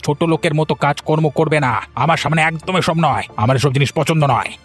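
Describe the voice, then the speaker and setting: A man playing a stern, scornful old village moneylender in a cartoon, haughty and threatening, with a husky voice. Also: fairly high pitch, fast talker. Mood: angry, threatening. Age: older. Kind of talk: Bengali cartoon voice acting. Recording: music behind the voice.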